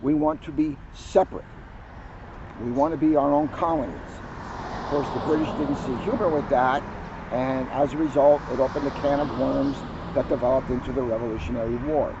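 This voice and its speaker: A man who is a police officer, reading a children's picture book aloud outdoors with lively storytelling. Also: medium pitch, moderate pace, lo-fi sound, background noise. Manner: lively storytelling, livens up on quoted lines. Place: outdoors on a hill at a historic house.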